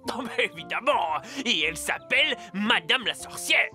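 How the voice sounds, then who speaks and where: A woman voicing a talkative, friendly little forest gossip in an animated cartoon, with emphatic, eager delivery. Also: medium pitch, normal speed, faint music behind. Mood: chatty, enthusiastic. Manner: talkative, emphatic, enthusiastic storytelling.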